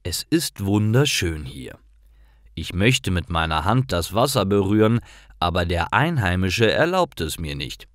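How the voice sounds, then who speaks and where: Deep-voiced, animated male story narrator. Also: a little slow, dry recording, clean studio sound. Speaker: narrator of German learning stories. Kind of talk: scripted storytelling for language learners.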